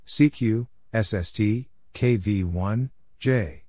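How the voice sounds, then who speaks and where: Man reading clipped, deliberate scripted callouts. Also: on the low side, mellow, no room sound, clean studio sound. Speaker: narrator of Morse code practice exchanges. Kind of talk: scripted readout of letters and short radio exchanges.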